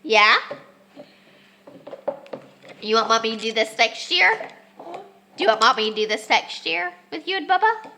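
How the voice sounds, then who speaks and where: A woman's voice: on the high side, expressive, moderate pace, long pauses, pushed and bright, slight background noise. A young woman, congested and losing her voice, chatting casually with many ums as she vlogs a homeschool lesson. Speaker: homeschooling mom. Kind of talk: casual home vlog lesson. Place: family home with children.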